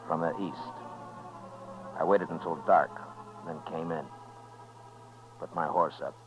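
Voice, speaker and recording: A steady Old West lawman acted by a man with a low American voice, dry and sparing with words. It comes from a muffled vintage radio western with music in it. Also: natural voice. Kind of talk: 1950s radio western acting with first-person narration.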